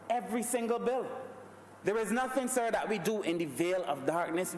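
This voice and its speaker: A man's voice: high voice, slight background noise. Barbadian man debating in parliament. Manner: rhetorical, repeatedly addressing the Speaker as sir. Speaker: member of parliament. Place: large parliament chamber. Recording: echoey chamber, distant mic.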